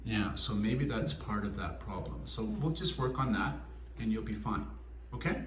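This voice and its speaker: A man's voice: lowish voice, lively intonation, a little hiss or hum. A man teaching English, giving a student simple, encouraging feedback. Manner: encouraging, explains slowly and simply. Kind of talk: classroom feedback. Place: classroom. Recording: echoey classroom, distant mic.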